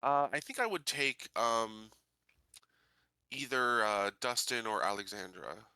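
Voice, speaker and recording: A man's voice: mid-range voice, lively intonation, unhurried, sharp tone, smooth, no echo. A man playing a tabletop game, tentatively piecing his plans together in casual talk.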